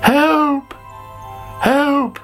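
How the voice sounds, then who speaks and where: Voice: sits high, steady tone, extremely slow, a bit bright, faint music behind, voice pushed up, full character voice. A storyteller voicing a greedy, cheeky young male caterpillar for a children's story. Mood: panicked.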